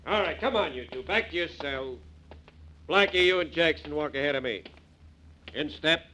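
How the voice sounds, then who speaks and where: An actor playing a gruff, bossy prison guard who gives curt, commanding orders in a 1940s radio crime drama. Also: male voice, on the high side, lively intonation, normal speed, pushed and bright. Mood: stern. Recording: noisy old 1940s radio recording.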